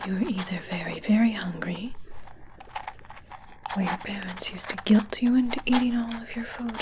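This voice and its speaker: A woman playing a curious, callous witch who tests her potions, in a slow, breathy whisper for an ASMR roleplay. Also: medium pitch, background noise, natural voice. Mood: sly curiosity.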